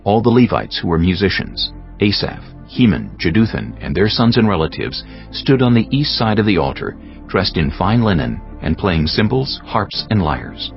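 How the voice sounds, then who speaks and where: Deep-voiced male scripture narrator over music. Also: sing-song. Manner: measured, formal reading. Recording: slightly dull audio with music bed.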